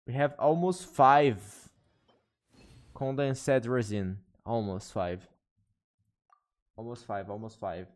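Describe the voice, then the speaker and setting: A man, a native Portuguese speaker with an accent, chatting casually as a game streamer in halting, repetitive phrases. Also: mid-range voice. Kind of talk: casual live stream chat.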